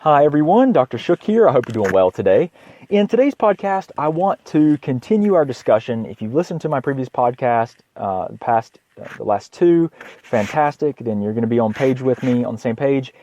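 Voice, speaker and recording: Male doctor podcaster, mid-pitched and a little hoarse, halting informal explainer delivery, slightly lo-fi recording. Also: sing-song, dark tone, a little hiss or hum. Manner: halting, repeats words, frequent throat clearing. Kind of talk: informal educational health monologue.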